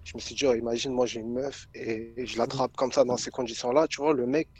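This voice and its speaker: A man's voice: medium pitch, fairly even intonation, fast talker, a little mellow. A man with a Southern French accent telling a story in a casual group chat, rambling and repeating himself.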